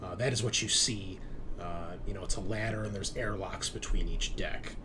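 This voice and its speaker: A man's voice: low voice, steady tone, normal speed, noisy background. A man casually explaining things to players as game master of an online tabletop roleplaying game, in an informal way.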